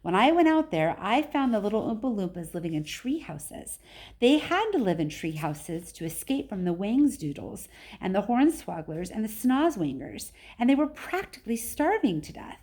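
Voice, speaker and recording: Storybook role: proud, excitable male factory owner, theatrical and very animated female reader. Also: mid-range voice, normal speed. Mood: dramatic, emphatic.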